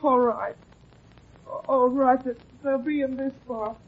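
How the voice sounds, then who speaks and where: A woman's voice: high voice, fairly even intonation, takes it slowly, breathy edge, heavily acted. An actress playing an eager, flustered woman clerk in a vintage radio drama. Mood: helpful. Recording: muffled vintage radio recording.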